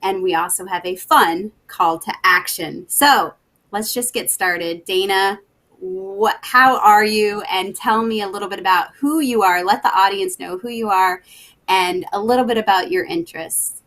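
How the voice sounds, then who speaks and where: A woman's voice: medium pitch, a little slow, a bit bright. A woman hosting a live webcast over a video call, interviewing her guest in an upbeat, enthusiastic way. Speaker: host, NASA education specialist.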